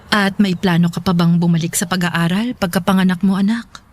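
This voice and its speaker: A woman plays a caring middle-aged mother in a Filipino radio drama. Her voice is a little low, and she speaks with motherly concern. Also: steady tone, a little fast, natural voice.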